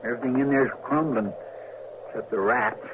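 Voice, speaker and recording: A man's voice: medium pitch, normal speed, lightly acted. A man with a rural American accent playing a simple, kindhearted marshal's sidekick in an old-time radio drama, plain-spoken, drawling and deferential. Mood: pitying, concerned. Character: folksy, simple, kindhearted marshal's helper in a western. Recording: muffled, noisy vintage radio recording.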